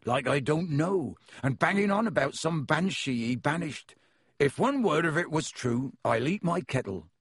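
Man voicing a big gruff half-giant gamekeeper, growly, rustic, hearty, husky edge, dry close sound. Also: fairly high pitch, animated, normal speed, dry recording, voice pushed up.

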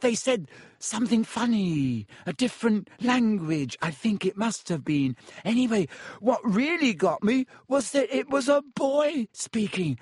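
A man's voice: high voice, breathy edge, dry recording, clean studio sound, pitched above the performer's own voice, exaggerated voice. A man voicing a self-pitying ghost of a teenage schoolgirl, wailing and dramatic, relishing her gloomy tale.

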